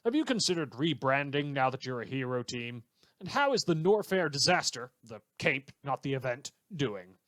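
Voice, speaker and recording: A man's voice: medium pitch, sing-song, normal speed, a bit bright, no room sound. A man voicing a self-important crank listener, reading out his letter earnestly and formally in a comedic audio drama. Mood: smugly earnest. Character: self-important male crank writing to a radio show.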